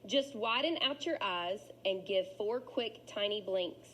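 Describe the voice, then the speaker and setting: A woman reads as a sleepy lady squid in a children's storybook. She is a brisk, helpful adviser who lays out each step. Clear noise is in the background. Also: medium pitch, animated, lightly acted.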